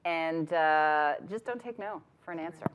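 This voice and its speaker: A middle-aged woman on a panel, telling her career story in a lively way and acting out what people said. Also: low voice, normal speed, a crowd in the background. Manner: lively, laughing, acts out quotes. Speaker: panelist, businesswoman. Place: event hall with audience.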